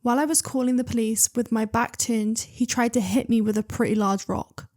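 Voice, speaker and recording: A young woman hosting her channel, reading online stories aloud with chatty, excitable commentary and asides. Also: a little high, fairly quick. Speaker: reader and channel host.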